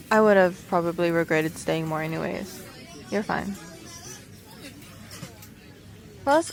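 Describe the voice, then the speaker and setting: A woman's voice: lowish voice, slight background noise, natural voice. Bubbly, rambling young-hearted woman on a date, acted. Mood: flustered, friendly. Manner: chatty, rambling, giggly, hesitant. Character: bubbly, playful, awkward woman at speed dating. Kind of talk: ASMR roleplay, natural conversational acting to the listener.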